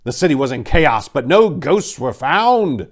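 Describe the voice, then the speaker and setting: A man's voice: fairly high pitch, sing-song, a little slow. A man narrating in a theatrical, emphatic way, like a dramatic reading. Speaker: narrator and host.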